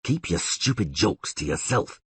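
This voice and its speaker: A man voicing a gruff, irritated monster hunter in an audiobook, growling in a terse, commanding manner. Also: big pitch swings, a little slow, no echo, exaggerated voice. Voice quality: low, growling. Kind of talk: audiobook character acting.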